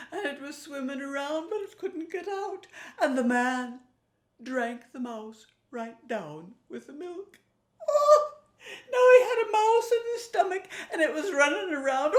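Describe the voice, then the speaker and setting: A woman telling a folk tale in a theatrical, folksy way with a put-on Irish accent and dramatic pauses. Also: sits high. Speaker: storyteller. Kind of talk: oral folk storytelling to viewers. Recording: roomy, echoing sound.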